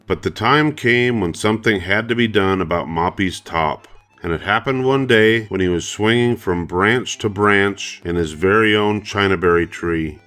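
A man's voice: on the low side, moderate pace, few pauses, fairly bright tone, soft background music. An older man narrating a children's story from a script. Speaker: storybook reader.

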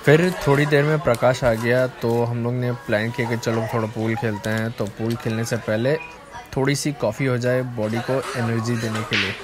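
Relaxed man narrating over music. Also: mid-range voice, moderate pace. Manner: relaxed, friendly, addresses viewers. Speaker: food vlogger. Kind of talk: casual vlog narration. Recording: loud music underneath.

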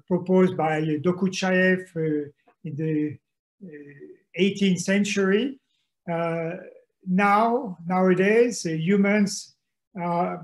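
A man, a soil scientist giving a presentation from home over a video call, slow and hesitant with many fillers. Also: fairly high pitch, darkish tone, low-quality recording. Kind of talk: online conference presentation. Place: home room, indoors.

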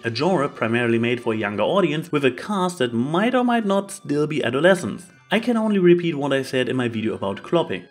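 A man hosting a YouTube video, delivering scripted opinion commentary. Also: medium pitch. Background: music.

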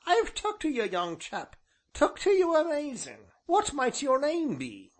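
A man's voice: very high-pitched, wildly swooping pitch, a little slow, pitched above the performer's own voice, exaggerated voice. A man voicing a talkative, chuckling old rustic grandfather with an English rural dialect who repeats himself. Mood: delighted.

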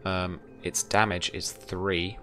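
A man's voice: low voice, flat delivery, slow pace, noisy background. A young man speaking as game master, running a tabletop roleplaying game over music. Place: indoor room at home, video call. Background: background music.

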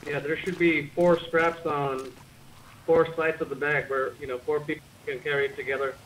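Higher-voiced man in roleplay voice chat. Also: flat delivery, moderate pace, fairly bright tone, poor audio. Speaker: online roleplay game player. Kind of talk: casual in-character roleplay chat. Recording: faint noise behind game voice chat.

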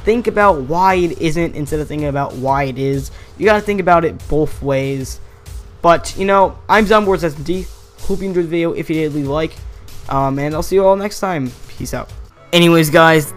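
A young man talks through a gaming theory in a loose, rambling way, correcting himself and chuckling now and then. His voice sits a little high for a man. Faint music plays underneath. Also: normal speed. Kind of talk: casual voice-over commentary. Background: faint background music.

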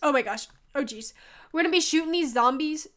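A woman's voice: high voice, fairly quick, fairly bright tone. A teenage girl, stuffy from a cold, chatting casually while playing, rambling with lots of "like". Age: teens. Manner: rambling, lots of "like", yelps and laughs during play. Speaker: gaming YouTuber. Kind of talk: casual gameplay chat.

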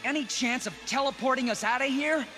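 A man's voice: extremely high pitch, brisk. An actor playing an earnest young male ghost-hunting hero in a cartoon, urgent and heroic. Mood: urgent, determined. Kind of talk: 1980s cartoon acting. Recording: reverberant, music behind.

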